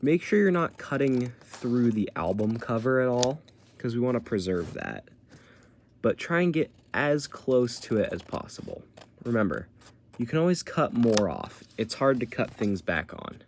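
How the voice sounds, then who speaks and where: A man's voice: mid-range voice, big pitch swings, normal speed, slight background noise. A man giving a casual step-by-step how-to tutorial in an informal, colloquial way, explaining as he demonstrates. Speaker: tutorial presenter.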